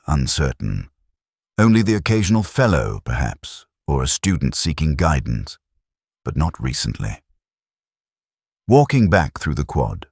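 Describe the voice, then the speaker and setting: A man reading a scripted first-person detective story in a measured, literary and reflective manner. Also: a bass voice, breathy edge, no echo, studio quality. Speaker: narrator.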